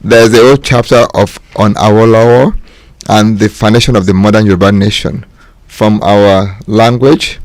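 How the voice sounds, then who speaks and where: Man, low voice, dry sound, hesitant guest speaking on radio. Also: steady tone, moderate pace, dry recording. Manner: hesitant, filler-heavy. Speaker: author and guest. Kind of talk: casual radio talk.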